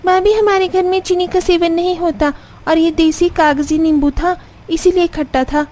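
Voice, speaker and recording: Young sister-in-law, squeaky high pitch, girlish, cheeky, bossy, chirpy cartoon delivery. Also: fairly even intonation, normal speed, a little mellow. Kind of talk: Hindi cartoon story acting.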